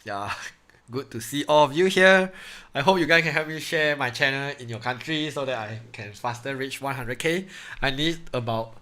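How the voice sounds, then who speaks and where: A young man with a Malaysian English accent chats casually to his viewers during a live online maths class. His voice sits at an ordinary middle pitch for a man. He laughs easily and talks in a relaxed, loose way into a computer microphone. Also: moderate pace. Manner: chatty, laughs often, loose grammar. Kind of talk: casual live-stream chat with students.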